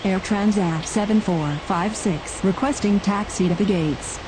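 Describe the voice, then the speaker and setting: A woman's voice: medium pitch, measured pace, natural voice. A woman playing a businesslike air traffic radio voice in a game, speaking in scripted phraseology. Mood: businesslike, procedural.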